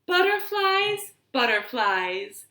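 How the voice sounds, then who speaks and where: A woman reading stories and rhymes aloud to young children in an expressive, deliberate way, recorded in a living room. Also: sits high. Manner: expressive, deliberate, asks the listeners questions. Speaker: children's librarian storyteller. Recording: roomy, echoing living-room sound.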